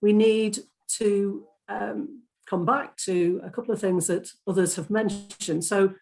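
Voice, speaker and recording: A woman with a Lancashire accent giving a brisk, persuasive speech as a candidate at an election hustings. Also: medium pitch, fairly even intonation, gravelly, darkish tone, poor audio. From Lancashire, England. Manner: brisk, persuasive campaign speaker.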